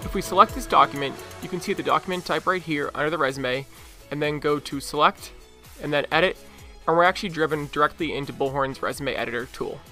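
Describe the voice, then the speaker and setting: A man presenting a promotional software demonstration. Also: on the high side, quick pace. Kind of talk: promotional software demo narration. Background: music.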